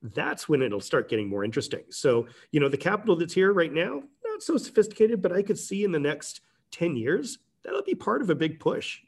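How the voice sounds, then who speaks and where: A man's voice: on the high side, very animated, a little fast, hardly any pauses, slightly rough. A middle-aged man, a company president talking casually as a guest, rambling with many restarts. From Canada. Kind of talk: casual interview talk.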